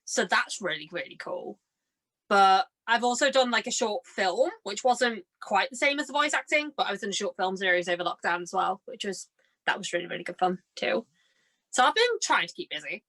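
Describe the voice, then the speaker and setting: A young adult woman chatting casually and telling anecdotes in a bubbly, excitable manner, often saying "like". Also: medium pitch, a little fast. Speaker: guest, amateur voice actor.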